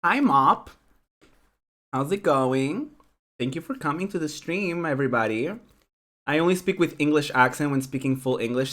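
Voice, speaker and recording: A young woman from Portugal, Portuguese by birth, who speaks English with an American accent she picked up from online friends. She streams and chats loosely with her viewers, laughing a lot and wandering through her thoughts with plenty of "like" and "um". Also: very deep voice. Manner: giggly, rambling, says "like" and "um" a lot. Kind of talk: casual chat with live viewers.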